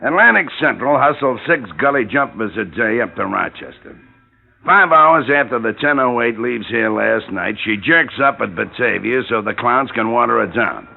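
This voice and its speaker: An actor playing a gruff, aging, heavy-set railroad detective, gravelly and full of folksy slang, in a 1950s radio drama. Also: male voice, medium pitch, animated, normal speed. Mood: eager, slangy. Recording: tinny narrowband old radio recording.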